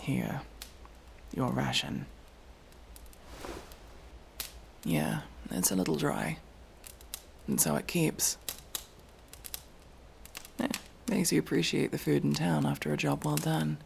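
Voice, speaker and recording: A man playing a guarded but kind armored adventurer in a fantasy roleplay audio drama, gravelly, dry and gently teasing. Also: on the high side, steady tone, unhurried, long pauses, a little hiss or hum. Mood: matter-of-fact. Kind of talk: immersive roleplay audio drama, one-sided conversation.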